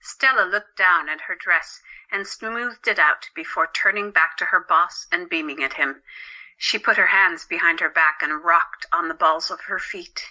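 Woman narrator with a sharp, bright voice. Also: lowish voice, unhurried, highs cut off. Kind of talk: scripted dramatic story narration. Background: music.

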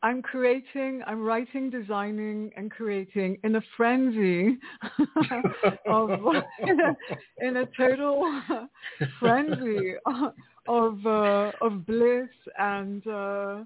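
A woman from Greece, a guest poet in a relaxed interview, who speaks thoughtfully with many pauses and laughs often. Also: fairly high pitch. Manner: thoughtful, hesitant, laughs often between phrases. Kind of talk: conversational interview. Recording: tinny phone line.

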